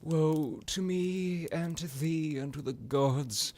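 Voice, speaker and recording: Man acting as an ancient seductive vampire lord, low and unhurried. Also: lively intonation, a little mellow, husky, exaggerated voice. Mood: mock dramatic. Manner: slow, theatrical, drifting into whispers. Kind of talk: ASMR roleplay monologue.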